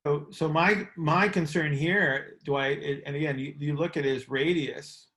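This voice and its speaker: Man, fairly high-pitched, informal coaching explanation, halting repeated phrases, checking questions. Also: fairly even intonation, a bit bright. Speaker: host and coach teaching on a group call. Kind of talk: informal explanatory teaching on a conference call.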